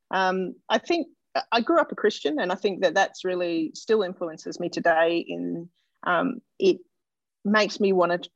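Gravelly Australian woman, thoughtful pauses. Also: fairly low pitch, no room sound, dull audio. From Australia (Melbourne). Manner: thoughtful, hesitant, lots of fillers and restarts. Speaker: doctor and interview guest. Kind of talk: casual interview answers.

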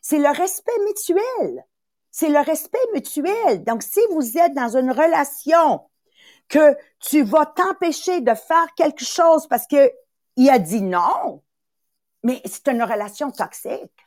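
A woman's voice: high-pitched, slow pace, no echo, studio quality. A woman around sixty with a Quebec French accent, born in Italy, hosting a motivational talk in an emphatic, repetitive way. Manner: animated and emphatic, repeats phrases, acts out little voices. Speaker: podcast host and sales coach.

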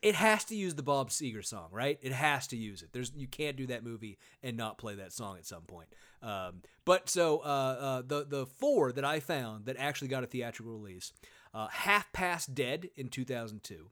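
A man's voice: medium pitch, lively intonation, normal speed, a bit bright. A man hosting a casual comedy podcast, talking sarcastically, swearing freely and using lots of fillers. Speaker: podcast host.

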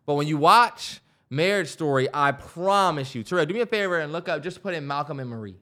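A man's voice: a little high, lively intonation, fairly quick, bright, forceful tone. American man, slangy podcast co-host. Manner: slangy, profane, emphatic advice-giving. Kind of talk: casual chat.

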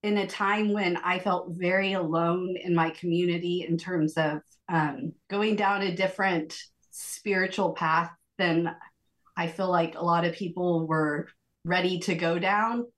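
Female group participant, slightly low voice, telling her own story earnestly and a little hesitantly in conversation. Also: fairly even intonation, measured pace. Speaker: group member sharing testimony. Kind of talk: reflective personal account in a group conversation.

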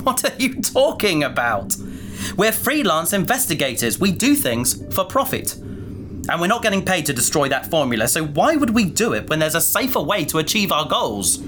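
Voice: mid-range voice, big pitch swings, moderate pace, noisy background, lightly acted. An actor playing a caring, practical space adventurer in a sci-fi audio drama, with an earnest, reasoning way of talking. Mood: arguing, exasperated.

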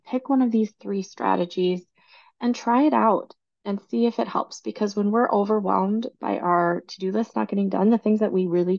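A woman's voice: medium pitch, gravelly, a little mellow, muffled recording. A woman in her thirties hosting a casual podcast, chatty and enthusiastic, her voice still recovering from laryngitis. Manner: chatty, enthusiastic about organizing. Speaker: podcast host. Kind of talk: casual solo podcast talk.

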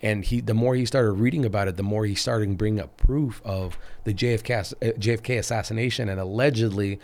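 Raspy-voiced man, rambling podcast chat. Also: on the low side, steady tone, a little fast, darkish tone. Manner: rambling, frequent restarts and fillers. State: raspy voice after illness. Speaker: podcast host. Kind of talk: casual chat.

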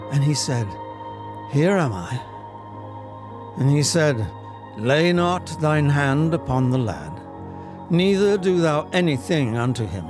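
A man in his seventies with an English accent acting a solemn, stately narrator of Old Testament stories in a dramatic reading. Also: a little high. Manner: grave, theatrical, drawn-out.

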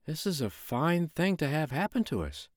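A man voicing a sharp-tongued peasant wife in a storybook reading, raising his voice high and shrill. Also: very animated, normal speed, no echo, clean studio sound. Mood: worried. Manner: shrieking, scolding.

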